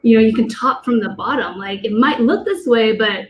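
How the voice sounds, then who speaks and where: A woman's voice: moderate pace, poor audio. A woman born in Taiwan and raised in the US, talking animatedly on a video call panel, her voice leaping up to make a point. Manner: animated, pitch jumps up when making a point. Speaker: panel co-host. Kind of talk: casual panel discussion.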